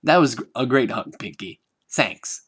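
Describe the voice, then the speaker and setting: A man voicing a vulnerable, guilt-ridden teenage girl in a fan-made audio reading, speaking hesitantly and quietly. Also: medium pitch, lively intonation, unhurried, husky, no echo. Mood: relieved.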